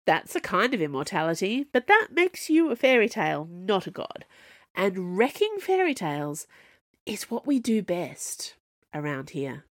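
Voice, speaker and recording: A woman reading the part of a cool, wry young royal bodyguard who speaks with steady assurance. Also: medium pitch, a little slow, dry recording, studio quality.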